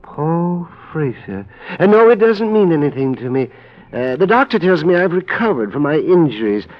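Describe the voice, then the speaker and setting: A man's voice: a little high, very animated. A man playing a confused middle-aged businessman who has lost his memory, speaking hesitantly in a 1950s radio drama. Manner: hesitant, halting, full of uh's. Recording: dull old radio broadcast.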